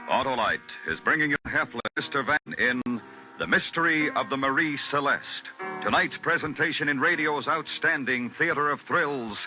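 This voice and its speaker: A male announcer gives a formal scripted introduction in vintage radio style. He has a mid-pitched voice, muffled and set against loud music. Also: animated, moderate pace. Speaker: programme announcer.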